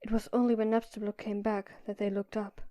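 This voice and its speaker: A woman's voice: medium pitch, level tone, fairly quick, mellow. A woman reading scripted narration for an audio drama. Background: background music.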